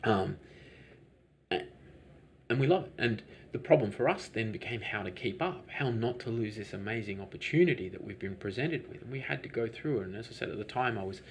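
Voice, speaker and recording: A man's voice: lowish voice, lively intonation, fairly quick, slight background noise. A man, a business owner, talking through his story in a rambling, hesitant way, with many restarts and fillers. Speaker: lavender farm business owner. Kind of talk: conversational interview answers.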